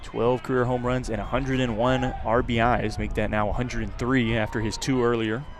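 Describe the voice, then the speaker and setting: A man's voice: medium pitch, little pitch movement, moderate pace. Male baseball announcer over outdoor background noise. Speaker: play-by-play announcer. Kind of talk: live baseball radio play-by-play commentary. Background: outdoor ballpark ambience.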